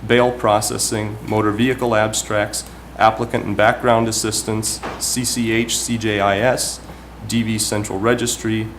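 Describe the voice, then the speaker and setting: A man with a fairly low voice delivers prepared remarks as a councilman at a town meeting. He is formal and deliberate in his phrasing. Clear background noise runs through the meeting room recording. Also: level tone.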